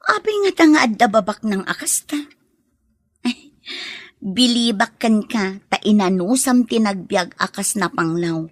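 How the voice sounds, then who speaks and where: A frank but sympathetic sister in a radio drama, played by a woman. She speaks at medium pitch in a husky voice, close and dry. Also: animated, a little slow, dry recording. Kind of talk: Ilocano radio drama acting.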